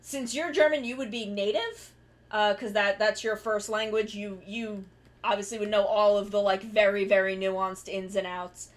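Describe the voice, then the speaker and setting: A woman's voice: mid-range voice, fairly even intonation, normal speed. A woman streamer chatting casually while she draws, rambling and correcting herself mid-sentence, with the odd swear. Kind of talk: casual chat while drawing.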